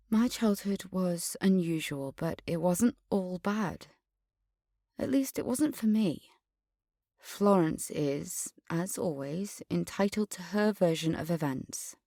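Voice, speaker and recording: A woman's voice: fairly low pitch, dark tone, dry recording, full character voice. Snooty defensive rich lady, audio drama monologue, very proper wording, close dry recording. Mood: composed, defensive. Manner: posh, pompous, precise formal diction. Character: haughty, defensive upper-class adult alumna named Katrina. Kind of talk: dramatic monologue in a fiction podcast.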